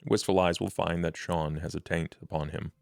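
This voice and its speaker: A man performs a courtly, scheming vampire for a tabletop game. The voice sits very low with a heavy gravelly creak, recorded close and dry. The phrasing is formal, deliberate and world-weary. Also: little pitch movement, dry recording. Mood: grave, musing.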